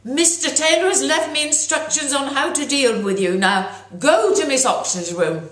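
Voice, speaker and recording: A woman voicing a stern, bossy old schoolteacher giving loud, commanding orders in a storybook. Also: high voice, normal speed, pitched above the performer's own voice. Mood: stern, scolding. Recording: roomy echo.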